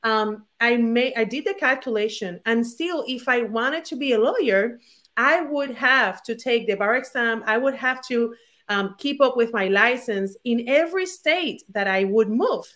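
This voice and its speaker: A woman in her forties from Puerto Rico with a Puerto Rican accent, speaking candidly as an interview guest from her home, energetic and full of fillers and restarts. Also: a little high, a little slow. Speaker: guest, former attorney.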